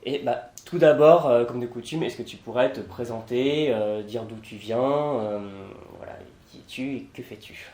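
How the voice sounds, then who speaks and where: Halting male interviewer in an echoey room. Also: medium pitch, a little mellow. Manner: halting, many fillers. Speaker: host, interviewer. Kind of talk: interview questions.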